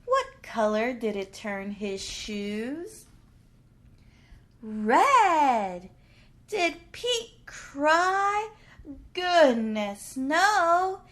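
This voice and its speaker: A woman reading a picture book aloud to preschool children in an exaggerated, drawn-out way, putting questions to young listeners. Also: high voice, very animated, fairly bright tone.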